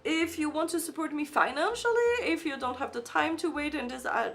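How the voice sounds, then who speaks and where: A woman's voice: high-pitched, animated, fairly quick, fairly bright tone, soft background music. A woman streaming a game live, chatting casually with viewers and reading their messages aloud, with frequent fillers and restarts; English is not her first language. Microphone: desk microphone.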